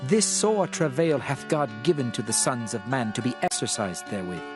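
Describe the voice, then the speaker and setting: An actor playing a world-weary, reflective king called the Preacher in a dramatized scripture reading, solemn, measured and declamatory. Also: mid-range voice, sing-song, music in the background, natural voice.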